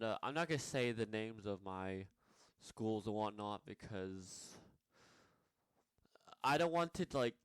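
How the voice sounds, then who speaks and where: Young male vlogger from Nepal, low voice, very clear and dry, halting and full of fillers. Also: no room sound. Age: young adult. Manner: hesitant, many fillers and restarts. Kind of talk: rambling personal vlog.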